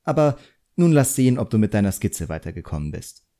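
A man's voice: fairly low pitch, sing-song, warm tone. Man voicing a composed, frank woman drawing teacher. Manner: instructive, measured, pointed.

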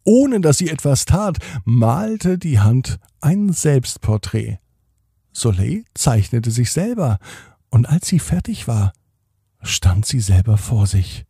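A man hosting a children's podcast, gently reading a bedtime story in a calm, soothing way. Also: mid-range voice, slow, husky, no echo, clean studio sound.

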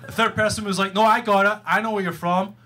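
High-pitched Glaswegian stand-up comic, a man. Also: steady tone, fast talker. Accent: Glaswegian Scottish. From Glasgow, Scotland. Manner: sweary, blunt, punchy with rhetorical "right?". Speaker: stand-up comedian. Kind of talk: stand-up comedy routine. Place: live comedy venue before an audience. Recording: live club recording, audience noise.

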